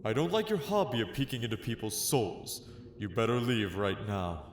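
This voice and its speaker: A man's voice: fairly low pitch, expressive, moderate pace, background noise, natural voice. Man playing a cool, taunting game master, echoey. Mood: stern. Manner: cool, theatrical, challenging. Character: confident, taunting young male master of dark games. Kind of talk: audio drama acting of a manga. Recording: echoey room effect.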